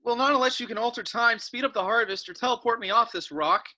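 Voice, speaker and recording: A woman's voice: on the high side, fast, bright, forceful tone. A woman playing a restless, frustrated young farm boy in a casual way, in a script read. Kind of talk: virtual script table read.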